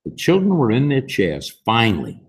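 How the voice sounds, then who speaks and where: A man in his sixties with a husky voice, reading a picture book aloud to young children in an expressive, unhurried way. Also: medium pitch, noisy background. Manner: expressive, unhurried, with emphatic swings. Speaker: story time host reading aloud. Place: school library, indoors.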